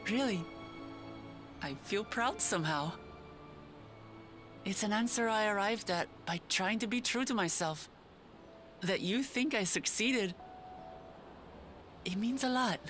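Actress as bright-voiced, earnest young lion-woman warrior. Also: mid-range voice, a little slow, pauses often, soft background music, lightly acted. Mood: reflective, sincere. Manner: earnest, heartfelt, reflective. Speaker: video game character. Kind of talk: voiced game cutscene dialogue.

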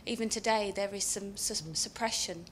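A woman in the audience making a comment at a panel discussion, in an even tone. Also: medium pitch, moderate pace, a little hiss or hum. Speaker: audience member. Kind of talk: comment from the floor.

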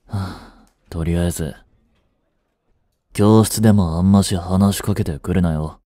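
Male actor as a deep-voiced, slow, dismissive teen boyfriend for a romance audio drama. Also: mellow, no echo, clean studio sound. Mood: cool, curt. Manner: curt, dismissive, low-voiced. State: feverish and weak. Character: cool aloof teenage boyfriend, blunt and proud.

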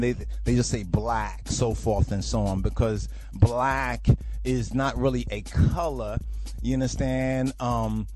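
Male podcast host, mid pitch, preachy rambling commentary. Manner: rambling, repeats "you understand?".

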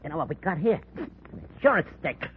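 An actor playing a crude, impatient, trigger-happy henchman with slangy, excitable speech in a 1940s radio drama. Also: a little high, quick pace. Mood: aggressive. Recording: muffled, noisy 1940s radio recording.

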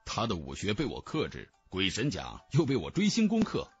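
Man voicing a cold, low-toned young swordsman. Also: huge pitch swings, normal speed, breathy edge, dull audio, faint music behind, exaggerated voice. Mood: calm, flat. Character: cool, contemptuous young swordsman hero of nineteen. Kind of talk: fantasy audiobook character voice.

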